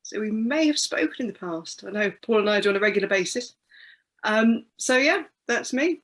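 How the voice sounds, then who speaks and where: Female, 40s, British, mid-pitched, chatty and informal, a working controller introducing herself. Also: expressive, moderate pace, a bit bright. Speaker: air traffic controller. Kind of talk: casual self-introduction and chat.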